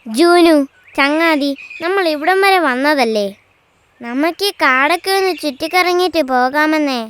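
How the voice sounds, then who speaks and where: A woman's voice: high-pitched, expressive, normal speed, a bit bright, a little hiss or hum. A woman voicing a timid but eager young leopard cat in a children's cartoon.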